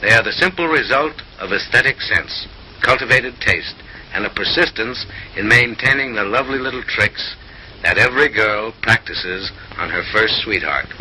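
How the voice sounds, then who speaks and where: A man's voice: lowish voice, lively intonation, moderate pace, pushed and bright, slight background noise, poor audio. A man narrating in a mid-century comic newsreel style, with an arch, mock-refined, theatrical delivery, on a vintage film soundtrack.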